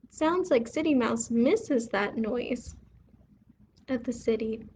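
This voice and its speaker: A woman hosting a bedtime read-aloud for young children, expressive and putting questions to her listeners. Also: a little high, takes it slowly, slight background noise. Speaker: children's story reader and host.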